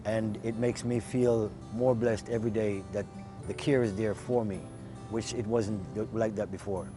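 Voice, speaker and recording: A man who is a client of a recovery program speaks gratefully and haltingly about his home. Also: lowish voice, little pitch movement, over music. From Florida.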